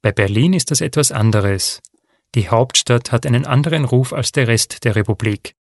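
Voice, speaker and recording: A man reading a first-person passage aloud for a language listening exercise, calm and even. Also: mid-range voice, moderate pace, dry recording, studio quality. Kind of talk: scripted reading of a textbook listening text.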